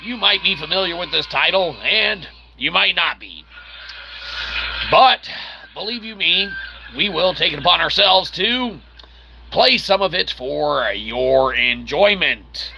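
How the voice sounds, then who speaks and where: A man's voice: fairly high pitch, animated, slow, gravelly, bright, forceful tone, slight background noise, low-quality recording. A man hosting a casual, rambling game livestream in a jokey, mock-earnest showman manner. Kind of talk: casual rambling let's-play commentary.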